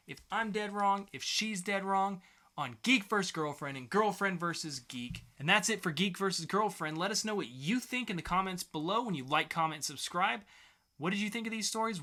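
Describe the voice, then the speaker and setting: A man's voice: sits high, lively intonation, normal speed, bright, forceful tone. A man co-hosting a podcast with playful banter and mock game-show announcing. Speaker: podcast co-host.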